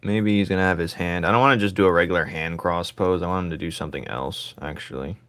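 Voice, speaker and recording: A man with a raspy voice streaming his drawing, thinking aloud in casual chat and joking with viewers. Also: sits low, fast talker, fairly bright tone, no echo.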